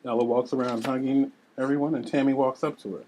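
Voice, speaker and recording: A woman's voice: rumbling low, steady tone, fast, dark tone, a little hiss or hum, low-quality recording. A woman reading scripted scene narration and stage directions at a table read.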